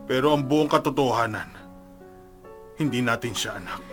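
A man's voice: mid-range voice, lively intonation, moderate pace, a bit bright, soft background music, natural voice. A man playing a stern, resentful middle-aged father in a radio drama. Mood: grave, regretful.

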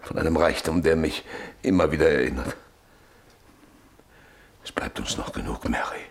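Gravelly, guilt-ridden middle-aged father. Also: very deep voice, expressive, full character voice. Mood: resigned, resolute. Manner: halting, brooding, repeats phrases. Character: guilt-ridden, stubborn, brooding landowner father, married 25 years. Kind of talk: German radio drama acting.

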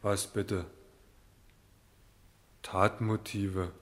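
Very slow, bewildered man in the dock. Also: deep voice, little pitch movement, fairly bright tone, full character voice. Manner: halting, bewildered, matter-of-fact. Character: slow-witted, placid hotel porter accused of murder. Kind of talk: satirical radio drama acting. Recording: reverberant hall.

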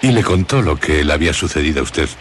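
An actor playing a grave, formal interlocutor in a radio drama, probing politely. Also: male voice, rumbling low, animated, fast talker, a little hiss or hum. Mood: grave, inquisitive. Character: grave, formal man questioning Laura about her past.

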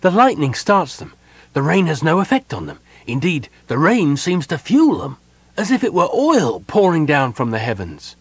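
A man's voice: a little high, huge pitch swings, moderate pace, husky, dry recording, pitched above the performer's own voice, heavily acted. A man acting an alarmed elven soldier who reports urgently to his commander in an audiobook. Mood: anxious. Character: alarmed young male elven soldier messenger.

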